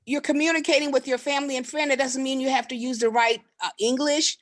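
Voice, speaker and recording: High-voiced, assured woman. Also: fairly even intonation, quick pace. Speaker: book club member. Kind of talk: casual group discussion.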